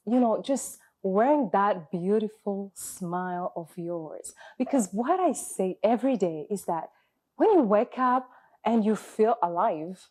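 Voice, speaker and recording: A woman's voice: medium pitch, darkish tone. Encouraging woman hosting a talk show. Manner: encouraging, emphatic, with spiritual wording. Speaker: host. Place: studio.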